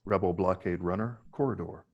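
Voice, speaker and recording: A man's voice: sits low, fairly quick, dull audio, background noise. A man narrating stage directions from a film script in a dramatic table read.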